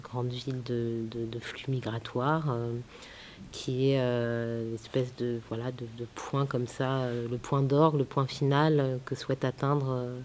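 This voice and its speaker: Réalisatrice hésitante, souffle léger en fond. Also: female voice, rumbling low, level tone, unhurried, a little mellow. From France. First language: Italian. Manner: hesitant, many fillers and restarts, thoughtful. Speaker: film director. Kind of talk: festival interview. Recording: faint hiss.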